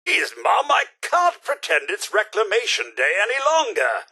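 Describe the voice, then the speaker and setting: A man's voice: normal speed, slightly rough, exaggerated voice. A male actor voicing a prim, fussy robot butler for a video game, with a plummy British accent and a theatrical, over-polite manner. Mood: weary, exasperated. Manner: plummy, over-polite, exclamatory, highly animated.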